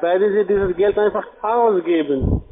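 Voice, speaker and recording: High-voiced man with foreign accent, phone line. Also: a little slow, background noise. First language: not German. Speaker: telephone sales agent. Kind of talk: phone sales pitch.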